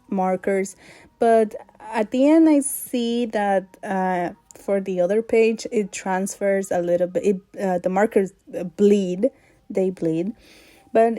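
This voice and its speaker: Woman w an accent, slow and mellow, lots of uhs, chatty art vlog vibe. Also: medium pitch, no room sound. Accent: non-native English accent. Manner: hesitant, many fillers, soft laughs. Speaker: art vlogger. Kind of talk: casual sketchbook vlog chat.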